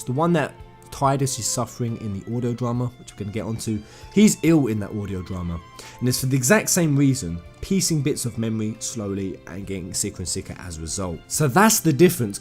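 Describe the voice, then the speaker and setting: A man giving an informal explainer commentary on video game lore, talking conversationally and emphatically to his viewers. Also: mid-range voice. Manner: conversational, addresses viewers as guys, emphatic phrasing.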